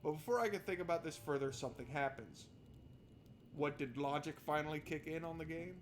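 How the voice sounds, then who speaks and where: Higher-pitched man, sarcastic game commentary. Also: fairly quick. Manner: sarcastic, exasperated asides. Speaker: video game streamer. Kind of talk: let's play commentary with read-aloud narration. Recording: noticeable background noise.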